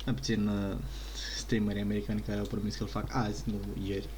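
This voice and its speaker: Man, Romanian, gaming host, rapid speech, casual, code-switching with swearing, slight background noise. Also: lowish voice, completely flat delivery, a little mellow. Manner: casual, mixes in English words and swearing. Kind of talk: casual gameplay commentary.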